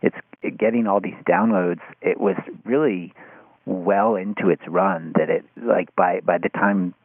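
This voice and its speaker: A thin, phone-like line carries a man's very low voice with a gravelly creak. He talks thoughtfully as an interview guest, halting and restarting as he works through his points. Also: steady tone, no echo. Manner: hesitant, halting, with repeated false starts. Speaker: podcast guest, media critic.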